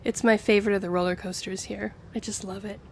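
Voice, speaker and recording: A woman's voice: medium pitch, fairly even intonation, fast, close to an everyday voice. A woman playing a friendly, earnest young woman with a shy romantic streak in an intimate audio roleplay, speaking to the listener. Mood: cheerful, relaxed. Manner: chatty, earnest, flustered hesitations when romantic. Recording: clear background ambience noise.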